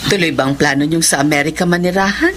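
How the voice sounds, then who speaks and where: A woman's voice: deep voice, fast talker, close to an everyday voice. A woman playing a folksy, teasing older mother in a Filipino radio drama. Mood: pleased. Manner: chuckling, folksy, mock-scolding. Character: middle-aged or older mother eager for grandchildren, teasing.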